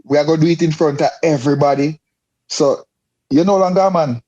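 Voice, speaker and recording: Mellow, higher-pitched Caribbean man. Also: fairly quick, highs cut off. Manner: emphatic, repeats phrases. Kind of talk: casual discussion.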